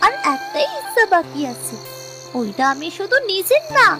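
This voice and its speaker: A woman's voice: extremely high pitch, big pitch swings, normal speed. A woman voicing a kind, hopeful little village girl with an East Bengali accent in a children's cartoon. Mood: earnest, selfless. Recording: music and insect chirps behind. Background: music, crickets.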